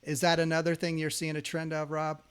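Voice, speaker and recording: Upbeat podcast host, fairly high voice. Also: male voice, completely flat delivery, a little fast, smooth. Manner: enthusiastic and chatty, talks a lot about his own experience. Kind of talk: casual interview chat.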